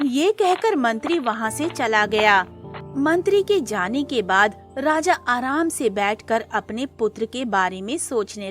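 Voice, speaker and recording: A woman's voice: a little high, a bit bright. A woman narrating a scripted cartoon moral story. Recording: music under the voice.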